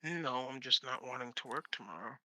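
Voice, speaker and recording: A man's voice: medium pitch, expressive, fairly quick, pushed and bright, no echo. A man co-hosting a live game stream, making short offhand remarks with dry humor. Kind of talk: casual live-stream chat.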